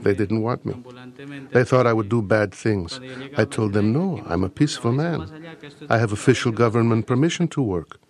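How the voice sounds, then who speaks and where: A man reading an interpreter's voice-over in a plain, steady way. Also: on the low side, normal speed. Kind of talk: read voice-over translation.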